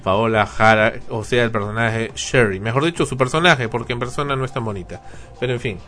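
A man's voice: medium pitch, brisk, a bit bright. A man co-hosting a casual radio talk show, repeating himself and joking as he goes.

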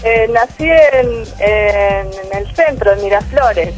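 A woman speaks calmly as a tango teacher on a radio show. Her voice is ordinary in pitch for a woman, with faint music underneath. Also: slow pace. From Argentina. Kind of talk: radio interview.

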